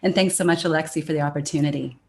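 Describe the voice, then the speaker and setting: A woman's voice: sits low, steady tone, very fast. A woman from the USA introducing herself on an online panel as a communication consultant, talking in an enthusiastic, upbeat way.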